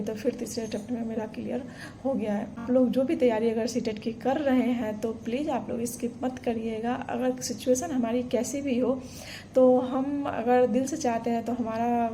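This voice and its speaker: A woman, talking earnestly and encouragingly to camera. Her voice is mellow and fairly high, yet it stays flat and even. A faint room hum sits behind her. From Bihar, India. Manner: earnest, encouraging, many fillers and restarts. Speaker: vlogger. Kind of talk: casual personal story to camera.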